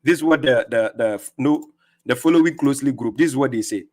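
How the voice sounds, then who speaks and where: A man's voice: mid-range voice, brisk. A man hosting a religious livestream, arguing in a mocking, taunting way and stumbling over repeated words. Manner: mocking, taunting, laughs at opponents. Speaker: livestream host. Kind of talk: combative religious livestream rebuttal. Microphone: streaming setup.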